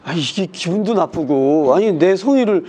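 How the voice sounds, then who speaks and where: A man on a discussion panel, recounting a conflict in an earnest, measured way. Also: high-pitched, lively intonation, a crowd in the background.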